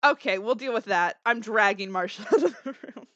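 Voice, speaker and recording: A woman's voice: mid-range voice, fairly quick, a bit bright, dry recording, highs cut off. A woman playing a tabletop game, chatting casually and bluntly with the group. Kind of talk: casual, lively game chat.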